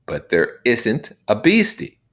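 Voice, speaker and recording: A man's voice: mid-range voice, wildly swooping pitch, takes it slowly, a bit bright, pitched above the performer's own voice, heavily acted. A man voicing a confident, insistent boy leader who declaims to a group in a storybook reading. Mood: exasperated, insistent. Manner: emphatic, declaiming.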